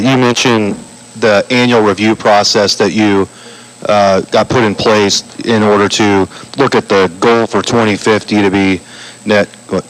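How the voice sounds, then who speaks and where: A man's voice: fairly low pitch, poor audio. A man at a cafe forum asking a candidate a question, hesitant and searching for words. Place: bakery cafe forum room.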